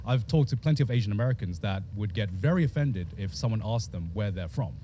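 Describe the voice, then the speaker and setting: A man's voice: on the low side, expressive, quick pace, warm tone, slight background noise. A man chatting casually, rambling and repeating himself as he talks. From England. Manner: rambling, repeats words, laughs often. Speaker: streamer. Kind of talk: casual chat.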